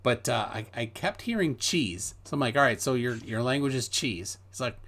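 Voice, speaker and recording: Lively, rambling American male host. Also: medium pitch, normal speed. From United States. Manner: rambling storyteller, hesitant with many fillers, reads viewer messages. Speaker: host of a live stream. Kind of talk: casual chat.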